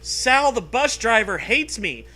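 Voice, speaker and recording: Man playing a high, nervous schoolkid. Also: very animated, slow, bright, forceful tone, heavily acted. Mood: anxious. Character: nervous schoolkid protagonist of a comic horror story.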